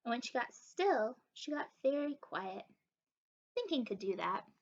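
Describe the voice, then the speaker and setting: A young woman reads a picture book to little children. Her voice is high and swings widely in pitch, expressive throughout. The webcam sound is slightly dull. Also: unhurried. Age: young adult. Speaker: story time reader. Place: living room indoors.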